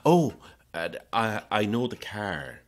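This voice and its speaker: Audio drama role: cautious, well-mannered hotel clerk, low-pitched, measured and discreet. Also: male voice, sing-song. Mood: wary. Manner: polite, careful, halting. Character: courteous, cautious male hotel receptionist. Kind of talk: naturalistic audio drama acting.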